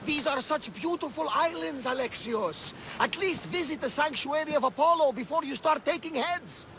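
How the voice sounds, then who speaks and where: An excitable older ship captain, his voice pitched high and full of gravel. He is a born storyteller. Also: moderate pace, slight background noise, exaggerated voice. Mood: cheerful. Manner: theatrical, animated storytelling. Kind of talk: video game cutscene acting.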